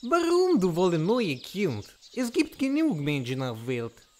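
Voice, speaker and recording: A man playing a sly, haughty, genderless witch with a foreign accent, slow and theatrical, in a comic audio drama. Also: medium pitch, huge pitch swings, a little mellow, slight background noise.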